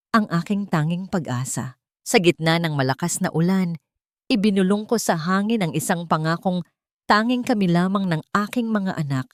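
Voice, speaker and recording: A woman's voice: sits low, a little mellow, dry recording, clean studio sound. A woman with a Filipino accent narrating a dramatic first-person romance story from a script.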